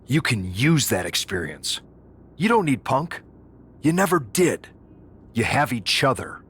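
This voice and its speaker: A man's voice: medium pitch, big pitch swings, unhurried, fairly bright tone, breathy edge, heavily acted. A man playing a serious, supportive wrestling mentor in a video game cutscene. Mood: encouraging.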